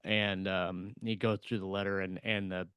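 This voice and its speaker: Low, smooth-voiced man, halting. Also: flat delivery, fairly bright tone, no room sound, studio quality. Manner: halting, many fillers and restarts. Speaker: podcast co-host, author. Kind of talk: casual chat.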